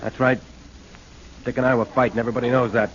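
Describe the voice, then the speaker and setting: Male actor for gloomy, anxious songwriter, rapid delivery, vintage radio serial with dull sound. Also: medium pitch, level tone, fairly bright tone, lightly acted. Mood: glum, worried. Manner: downcast, resigned. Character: glum, worried male songwriter suspected of murder. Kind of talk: 1940s radio drama acting. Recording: dull 1940s radio recording.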